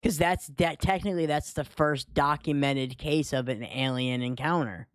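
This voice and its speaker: A man's voice: a little high, moderate pace, smooth, no echo. A man co-hosting a streamed podcast, chatting informally and with some slang. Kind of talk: casual chat, greeting stream viewers.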